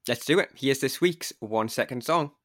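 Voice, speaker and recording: A man's voice: mid-range voice, moderate pace. A man with a Geordie accent co-hosting a podcast, bantering in a jokey, wry way. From North East England. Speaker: podcast co-host. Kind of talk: casual podcast chat.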